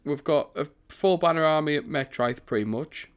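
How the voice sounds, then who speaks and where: A man hosting a strategy game commentary, chatty and rambling, with a croaky morning voice. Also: a little high, normal speed, soft background music. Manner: chatty, rambling, self-correcting. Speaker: gaming channel host.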